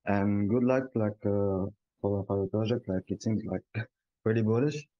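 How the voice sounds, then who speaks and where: A man from Tunisia whose first language is Arabic asks a friendly, meandering question, full of fillers. His voice sits low and sounds a little muffled and dry. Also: a little slow, no echo. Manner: friendly and rambling, with many fillers. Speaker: audience member asking a question. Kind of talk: casual voice-chat question.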